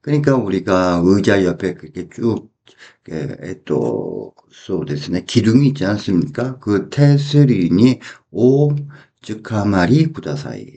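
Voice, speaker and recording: Guy explaining phrases bit by bit, mellow and slow, repeats stuff. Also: sits low, big pitch swings, creaky, husky. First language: Korean. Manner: deliberate, repeating phrases and translating them piece by piece. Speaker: Japanese language teacher. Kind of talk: explanatory language lesson.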